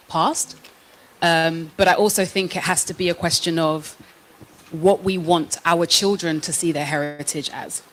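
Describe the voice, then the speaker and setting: A woman's voice: on the low side, a little hiss or hum, rough recording. A young woman from London with a London accent, speaking thoughtfully and frankly as a guest on a panel in front of a live audience. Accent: British, London. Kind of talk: panel discussion.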